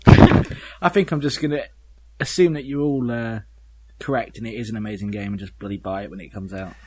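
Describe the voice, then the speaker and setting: A man's voice: fairly low pitch, steady tone, normal speed, darkish tone, slightly rough, rough recording. A man co-hosting a podcast, chatting informally in a dry, joking way with the odd mild swear. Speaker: podcast co-host. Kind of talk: casual chat and informal news reading.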